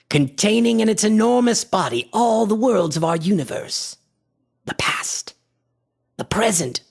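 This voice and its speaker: An actor playing an obsessed, imperious antiquarian in a radio horror drama, breathy and husky with grandiose, formal delivery that often sinks toward a whisper. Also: male voice, medium pitch, a bit bright, full character voice. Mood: reverent, grandiose. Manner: grandiose, deliberate, formal diction. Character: obsessed, imperious male antiquarian experimenting with a mystical drug. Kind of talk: theatrical radio horror acting.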